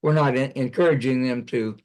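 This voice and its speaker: A man's voice: medium pitch, fairly quick, darkish tone, low-quality recording. A man with a mid-range voice talks informally on an online work call. He offers suggestions as a participant in the discussion. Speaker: meeting participant giving feedback.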